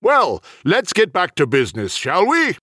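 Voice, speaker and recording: A male narrator acts a genial being from another world who speaks with measured, apologetic courtesy. The voice sits fairly high for a man and moves in lively swings, in a dry storybook recording. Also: breathy edge, dry recording, heavily acted. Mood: apologetic, businesslike. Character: polite, genial male otherworldly host in human guise.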